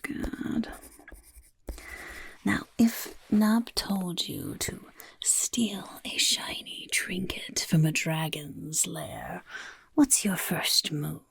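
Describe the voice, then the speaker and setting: A scheming goblin recruiter who whispers slowly in a low, gravelly, breathy rasp, put on by a woman. It speaks of itself in the third person and sounds sly and a little suspicious. The voice is close and dry, as in soft-spoken ASMR. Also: dry recording, heavily acted. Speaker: ASMR performer.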